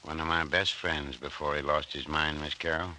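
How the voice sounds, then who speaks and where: An actor playing a laconic, cagey small-town doctor in a 1940s radio drama, with a dry, folksy, measured manner. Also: male voice, extremely low pitch, steady tone, pushed and bright, exaggerated voice, rough recording. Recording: hissy old radio recording.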